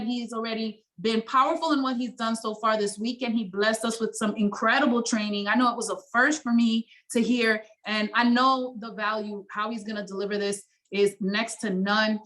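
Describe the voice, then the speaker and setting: A woman's voice: a little high, fairly bright tone, low-quality recording. A woman hosting a video call, earnestly introducing the trainer. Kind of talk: event introduction.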